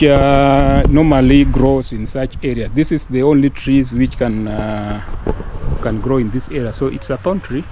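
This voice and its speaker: Man from Kenya, hesitant, lots of fillers, mid-pitched voice, outdoors with clear noise. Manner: halting, many fillers and restarts. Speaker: vlogger touring a house. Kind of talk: casual walkthrough vlog. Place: outdoors at a rural house. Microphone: handheld camera.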